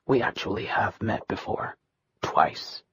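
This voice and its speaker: A narrator voicing a bitter young man from a royal family who speaks in a low, level, emotionless tone. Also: unhurried, a bit bright, no room sound, natural voice. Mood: cold, bitter. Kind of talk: audiobook character reading.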